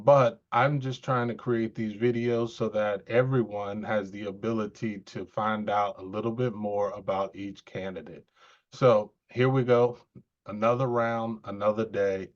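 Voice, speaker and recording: A man interviewing a candidate in a relaxed, conversational way. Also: on the low side, unhurried. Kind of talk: casual interview questions. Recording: dull lo-fi sound.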